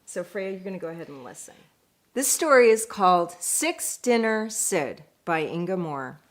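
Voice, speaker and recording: A woman reading a picture book aloud in an expressive, deliberate way, with chatty asides. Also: lowish voice. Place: indoors at home.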